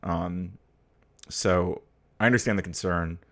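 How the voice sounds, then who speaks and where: A young man from the United States with a very low, deep voice hosts a casual livestream. He rambles through his points with plenty of fillers and restarts, and turns blunt and profane when a topic riles him. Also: steady tone, slow. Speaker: livestream host. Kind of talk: casual political chat, reading viewer comments.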